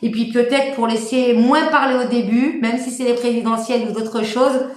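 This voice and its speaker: Woman, high voice, live-stream host, rambling and warm, echoey room. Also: fairly even intonation, moderate pace. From France. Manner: warm, rambling, many fillers and restarts. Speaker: medium hosting a live stream. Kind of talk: casual live-stream chat.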